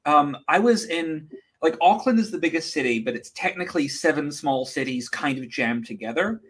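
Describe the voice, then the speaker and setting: A man in his thirties from New Zealand, a guest telling stories on a video call in a wry, deadpan way. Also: fairly high pitch, normal speed, slightly rough. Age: 30s. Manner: wry deadpan storytelling, many fillers. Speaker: guest comics creator. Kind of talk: casual interview chat. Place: home room, indoors. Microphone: video call webcam.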